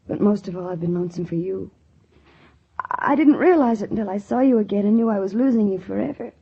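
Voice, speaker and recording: Lovelorn small-town young woman, husky voice, breathless and sincere, 1940s radio drama, muffled old recording. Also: medium pitch, a little fast. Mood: regretful, yearning. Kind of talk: 1940s radio drama acting with first-person narration.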